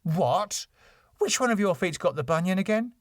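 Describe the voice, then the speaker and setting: Brief: British sitcom wife, played by a man, raised pitch, pointed questioning delivery. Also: animated, moderate pace, dry recording, clean studio sound. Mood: concerned, needling. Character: sitcom wife, apologetic then pointedly needling.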